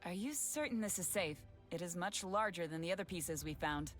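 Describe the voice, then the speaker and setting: A woman's voice: on the low side, normal speed, soft background music, lightly acted. Woman reading as a cautious young male astronomer. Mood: uneasy. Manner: worried, hesitant pleading.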